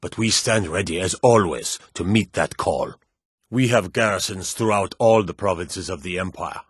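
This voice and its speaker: An actor playing a gruff, proud Nordic warrior and guard in a fantasy video game, speaking in a firm, emphatic, declamatory way. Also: male voice, sits low, moderate pace, no echo, clean studio sound. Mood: dutiful, weary. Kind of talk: dramatic video game dialogue.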